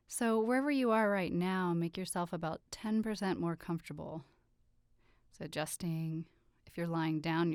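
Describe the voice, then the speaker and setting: A woman leading a guided relaxation meditation with soothing, gentle instructions and unhurried pauses. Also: lowish voice, clean tone, no room sound, clean studio sound. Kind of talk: guided meditation, yoga nidra.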